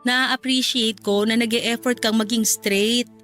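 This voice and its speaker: A woman's voice: fairly high pitch, steady tone, a little slow, a bit bright, soft background music. A woman playing a jealous, insecure young wife in an emotional, argumentative radio drama scene. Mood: fearful, pleading. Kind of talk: radio drama dialogue acting.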